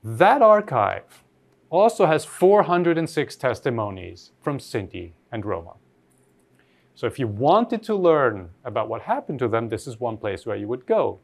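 Animated, thoughtful male lecturer from Austria. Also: medium pitch, gravelly. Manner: thoughtful, halting with frequent rephrasing. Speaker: academic lecturer. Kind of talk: reflective academic lecture, spoken off the cuff.

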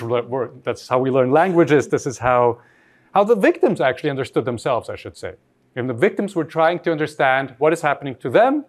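A man from Austria with as his delivers an academic lecture in a mid-pitched voice with very lively intonation. He speaks thoughtfully and off the cuff, halting and rewording his sentences as he reasons. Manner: thoughtful, halting with frequent rephrasing.

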